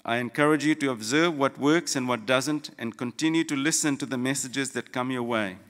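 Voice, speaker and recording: A middle-aged man from Fiji giving a formal, prepared graduation address in measured, deliberate phrases with pauses, at a podium microphone in a large auditorium. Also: mid-range voice, a bit bright. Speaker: graduation guest speaker, lawyer. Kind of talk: prepared formal ceremony address, read from notes. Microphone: gooseneck podium microphone. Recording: long hall reverb.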